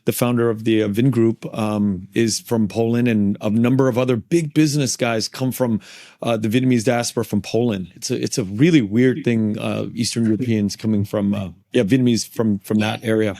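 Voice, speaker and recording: A man hosting a podcast, adding relaxed, brief remarks in casual conversation. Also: fairly low pitch, a little fast, no echo, studio quality. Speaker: podcast host.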